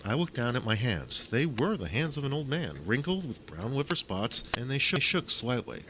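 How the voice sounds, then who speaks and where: A man's voice: medium pitch, very animated, normal speed. A man reading a first-person story aloud as a dramatic audiobook narrator. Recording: faint noise behind the voice.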